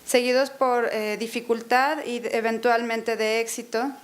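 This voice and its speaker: A woman interprets a lecture phrase by phrase in a university auditorium. Her voice is somewhat high, and she hesitates often, filling gaps and restarting words to correct herself. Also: level tone, measured pace. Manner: hesitant, many fillers and self-corrections.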